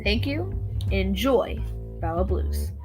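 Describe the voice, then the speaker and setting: A woman giving an informal introduction as a presenter, halting as she searches for words. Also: mid-range voice, sing-song, over music. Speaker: student presenter introducing a play.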